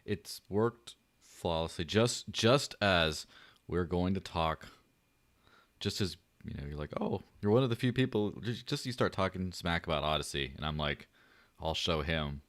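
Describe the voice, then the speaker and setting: Deep-voiced joking podcast host. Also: male voice, lively intonation, measured pace, fairly bright tone, no room sound. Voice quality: light buttery voice. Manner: joking, rambling, self-deprecating. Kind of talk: casual livestream chat.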